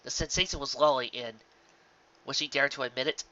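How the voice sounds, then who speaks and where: A narrator reading a scripted story aloud with an expressive, dramatic storytelling delivery. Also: medium pitch, fairly quick, highs cut off. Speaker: audiobook narrator.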